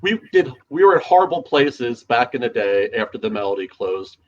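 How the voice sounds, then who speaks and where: A man co-hosting a casual podcast as a DJ, rambling with lots of fillers and restarts, his voice a little gravelly. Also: medium pitch, sing-song, normal speed, highs cut off. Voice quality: slightly gravelly. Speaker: podcast co-host, DJ. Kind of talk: casual chat.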